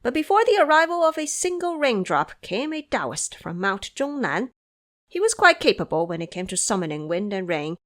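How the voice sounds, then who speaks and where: A woman acts out a cocky little magical monkey for an audio drama. The voice jumps high and swoops widely, teasing and leaning on words with theatrical relish. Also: a bit bright. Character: cocky magical monkey disciple posing as tiny oracle.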